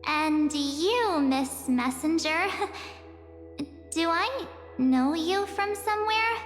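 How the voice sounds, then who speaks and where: Teenage game heroine, very high girlish voice, unhurried, dramatic, flustered, echoing, music behind. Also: lively intonation, full character voice. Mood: surprised. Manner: bubbly, dramatic, stammering when nervous. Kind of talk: video game voice acting. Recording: echoey long reverb, music behind.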